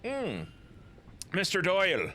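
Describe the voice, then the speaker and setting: Jokey let's play guy, bouncy lively voice, noisy background. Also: high-pitched, slow pace. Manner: playful, jokey, slips into silly voices. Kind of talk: casual gameplay commentary.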